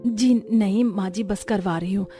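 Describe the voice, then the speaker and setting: A woman's voice: medium pitch, normal speed, warm tone, natural voice. A woman voicing a young newlywed bride in a cartoon family drama, polite but given to grumbling and mixing in English phrases. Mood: polite, friendly. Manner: mixes English phrases into speech.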